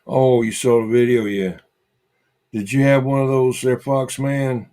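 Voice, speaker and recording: A man hosting a casual livestream, chatting with viewers in a halting, repetitive way and muttering asides to himself. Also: mid-range voice, little pitch movement, mellow. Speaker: livestream host.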